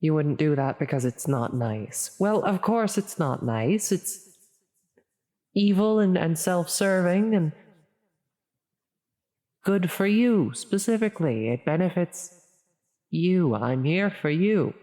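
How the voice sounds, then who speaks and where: A woman's voice: lowish voice, expressive, warm tone. A woman playing a flustered, well-meaning female demon tempter in an ASMR audio roleplay, hesitant and stammering as she coaxes. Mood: persuading, puzzled. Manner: hesitant, halting, stammering, coaxing. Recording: long reverberant echo on the voice.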